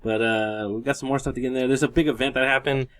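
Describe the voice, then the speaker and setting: A man's voice: mid-range voice, steady tone, fast talker, a bit bright. A man of about thirty hosting a podcast in casual, informal group chat. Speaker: podcast host.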